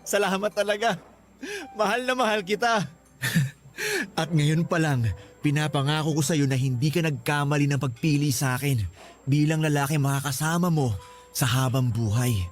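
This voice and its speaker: Actor as devoted young Filipino groom, higher-pitched. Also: male voice, normal speed, soft background music, natural voice. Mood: overjoyed. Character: Fred, earnest, devoted, excitable young groom. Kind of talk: radio drama acting in Tagalog.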